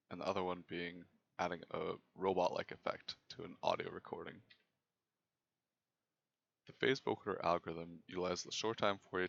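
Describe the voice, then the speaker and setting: A young man narrates a technical presentation in a very low voice with a lot of fry. His delivery is measured and formal, and the recording sounds slightly dull and lo-fi. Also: a bit bright. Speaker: presenter of a student software project.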